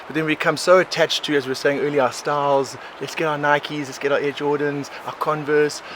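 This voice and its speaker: A South African man in his forties, a podcast guest, telling his life story in a rambling, animated way, often laughing. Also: on the high side, a little fast. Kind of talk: casual conversational storytelling. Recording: outdoor recording with clear background noise.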